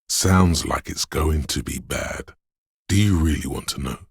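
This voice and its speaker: Video game role: a brooding inner voice of fear and adrenaline, rock-bottom pitch, very husky, ominous and profane. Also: male voice, no room sound, studio quality, full character voice. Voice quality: very deep, gravelly, husky. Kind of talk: dramatic second-person game narration.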